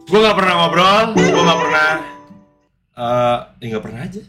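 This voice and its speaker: A man's voice: fairly high pitch, normal speed, loud music behind the voice. A man in his late twenties, a guest streamer, chatting casually with heavy slang and frequent swearing. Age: 20s. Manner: animated, swears often.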